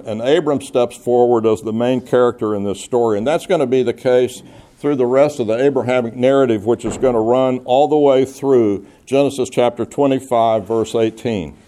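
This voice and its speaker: A pastor reads a Bible passage aloud, then explains it verse by verse. His voice is mellow and warm, and his pitch sits in a normal range for a man. He sounds measured and teacherly, working through questions aloud with his congregation. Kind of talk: expository Bible teaching, reading scripture and explaining it.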